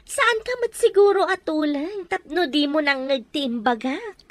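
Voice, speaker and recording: A woman's voice: high-pitched, unhurried, dry recording. A woman acting a cruel, scornful female villain who gives orders in a radio drama. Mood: bossy, menacing. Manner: scornful, commanding. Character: cruel, bossy female villain holding a captive.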